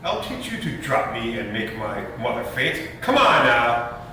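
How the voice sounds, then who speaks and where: A man's voice: very animated, measured pace, pushed and bright. A man playing a brash, bullying, jovial young nephew in an emphatic, teasing stage style. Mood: mock outrage. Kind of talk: stage readers' theater acting. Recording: roomy, distant mic, faint rumble.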